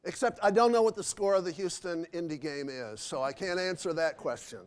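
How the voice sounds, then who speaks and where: A man's voice: sits high, fairly quick. A man speaking as a lecturer at a question-and-answer session in a hotel convention hall, with many fillers and restarts.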